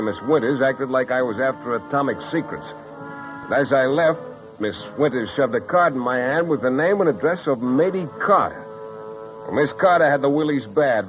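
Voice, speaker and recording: A man's voice: mid-range voice, close to an everyday voice. Guy acting wisecracking 50s radio private eye, dry sarcasm, dull old recording, bit of music. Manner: wry, sardonic. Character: wisecracking, cocky hard-boiled private detective, man. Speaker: lead actor and first-person narrator. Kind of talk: 1950s radio detective drama acting.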